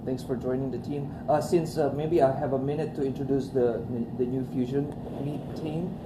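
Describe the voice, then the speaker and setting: A man hosting a live business networking panel, hesitant and full of fillers and restarts, recorded from a distance in an echoey room with loud ambience. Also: medium pitch, fairly even intonation, moderate pace, background noise. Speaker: event host and organizer.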